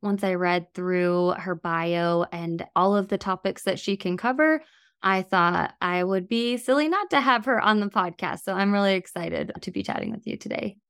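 A woman's voice: medium pitch, moderate pace, a bit bright, clean tone, no echo. A woman hosts a podcast with an upbeat, friendly delivery. Her voice sits in a middle register. The recording is close and dry. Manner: upbeat, scripted intro then friendly chat. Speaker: podcast host. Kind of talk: podcast host interview.

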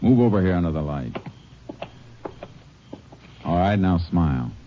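Deep-voiced, stern Texas lawman. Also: animated, unhurried, a little hiss or hum. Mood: hard, accusing. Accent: American. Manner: clipped, terse, authoritative. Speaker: lead actor and narrator. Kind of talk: 1950s radio western acting and narration. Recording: muffled vintage radio recording with music.

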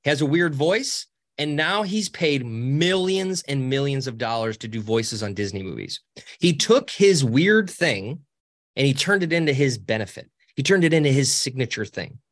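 A podcast guest, a man with a high voice and a cackling laugh. He talks casually and cheekily, his pitch swooping up and down as he rambles and restarts his sentences. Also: expressive, normal speed. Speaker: podcast guest, social media co-founder. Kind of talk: casual interview talk.

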